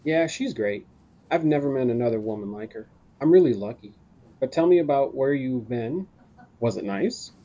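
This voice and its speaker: A man playing a caring, earnest friend and coworker in an audio drama, speaking gently. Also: medium pitch, lively intonation, moderate pace, close to an everyday voice. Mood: tender. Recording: dull, muffled recording.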